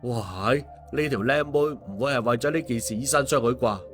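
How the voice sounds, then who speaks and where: Male narrator as cheeky, put-upon young rogue, Hong Kong Cantonese, slangy dramatic grumbling, raised pitch for audiobook. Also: a little fast, soft background music, lightly acted. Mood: flustered. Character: young man, exasperated, cheeky, reluctantly resigned rogue. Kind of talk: audiobook character acting.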